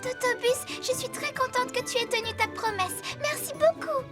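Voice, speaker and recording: A woman's voice: extremely high pitch, moderate pace, over music. A woman voicing a sweet, affectionate little girl with a tender, childlike manner in a children's cartoon dub. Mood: grateful.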